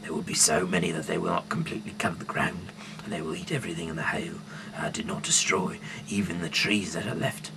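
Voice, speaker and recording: Very deep gravelly man reading scripture haltingly. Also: level tone, background noise. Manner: halting, stumbling over words, with sudden loud emphasis. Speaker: amateur Bible reader. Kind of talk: reading scripture aloud with off-the-cuff asides.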